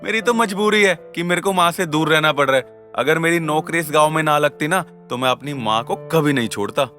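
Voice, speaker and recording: A man's voice: a little high, brisk, sharp tone, soft background music. A man playing a lovelorn, grumbling village secretary in a comic cartoon, chatty and theatrical. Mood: wistful.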